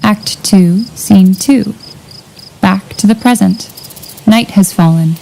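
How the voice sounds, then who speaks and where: A woman's voice: medium pitch, takes it slowly, vocal fry, warm tone, rough recording. A woman reading stage directions as scripted narration. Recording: faint noise with cricket ambience.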